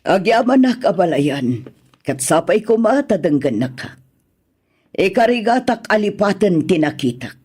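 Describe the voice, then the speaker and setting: A man's voice: high voice, sing-song, measured pace, darkish tone, slightly rough, full character voice. A man with an Ilocano accent playing an aggrieved older parent, earnestly pleading for a wronged son in a radio drama. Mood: aggrieved, worried.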